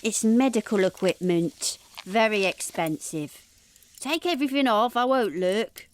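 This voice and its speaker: An actress playing an offhand eighteenth-century hospital nurse in an audio drama, matter-of-fact and falsely reassuring. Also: female voice, medium pitch, expressive, unhurried, a little hiss or hum, natural voice.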